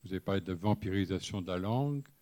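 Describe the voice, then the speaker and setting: A man's voice: low voice, lively intonation, fast, mellow. A man from the audience putting a question, searching for words and piling up phrases before asking it.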